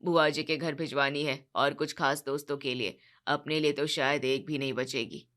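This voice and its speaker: A woman's voice: deep voice, fairly even intonation, moderate pace, fairly bright tone, voice pushed down. A woman voicing a proud, show-off middle-aged father-in-law who grumbles and boasts. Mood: grumbling.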